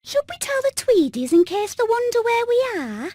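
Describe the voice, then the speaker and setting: A woman's voice: very high-pitched, very animated, normal speed, a little mellow, no room sound, studio quality. A woman voicing a cheerful, dim-witted hen in a video game, naive and innocently eager. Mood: naive excitement. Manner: naive, chirpy. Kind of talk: animated video game acting.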